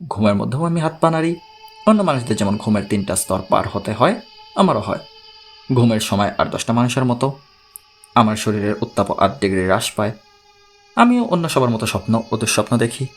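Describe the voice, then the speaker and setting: A man playing a troubled, courteous man who gravely recounts his own strange story in an audiobook. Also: mid-range voice, sing-song, brisk, faint music behind, pitched below the performer's own voice. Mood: grave, confiding.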